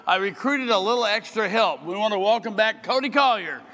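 An older man, a bishop, giving an earnest sermon address at a podium, slowing down as he reads scripture. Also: high voice, animated, pushed and bright. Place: large hall at a podium. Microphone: podium microphone. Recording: long hall reverb.

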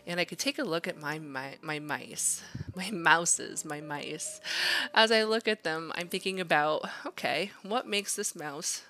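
A woman's voice: low voice, unhurried, bright, forceful tone, faint music behind. A woman art instructor casually narrating a drawing demonstration, thinking aloud in an informal, encouraging way. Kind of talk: casual drawing tutorial narration over a screen demo.

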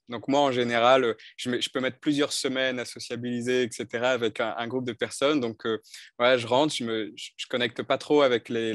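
A man's voice: mid-range voice, fairly bright tone, no room sound, clean studio sound. A man in his thirties from northern France, a coach telling his life story in a video call interview, thoughtful and hesitant with many restarts. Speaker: coach and speaker. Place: bright indoor room. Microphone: headset on video call.

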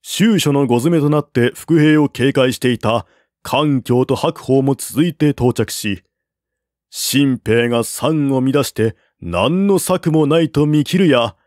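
Slow, measured male narrator. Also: mid-range voice, darkish tone, dry recording, clean studio sound. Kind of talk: audiobook reading of a classical war novel.

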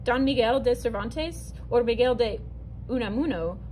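A woman teacher reading a narrative poem aloud from a picture book, clear and expressive, with a few small restarts. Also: on the high side, moderate pace, slight background noise, low-quality recording. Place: indoors, at a table over an open book.

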